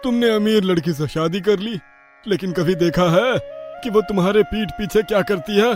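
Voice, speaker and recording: Man playing a high-pitched scolding village uncle. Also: normal speed, faint music behind, lightly acted. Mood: warning. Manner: admonishing, emphatic.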